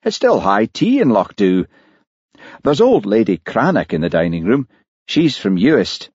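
Audiobook role: exasperated male manager, read by a man, firm, brisk, slightly husky, dry muffled recording. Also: on the low side, sing-song, darkish tone, no echo, pitched above the performer's own voice, full character voice. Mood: dry, helpful. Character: exasperated but tolerant male hotel manager.

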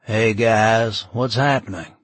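Audiobook role: aging hippie doomsayer, played by a male narrator, sardonic and easygoing, clean smooth close sound. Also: fairly low pitch, fairly even intonation, takes it slowly, fairly bright tone, dry recording. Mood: laid-back, amused. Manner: drawling slang, smiling, sardonic. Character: laid-back aging hippie man, sardonic, cheerful doom prophet.

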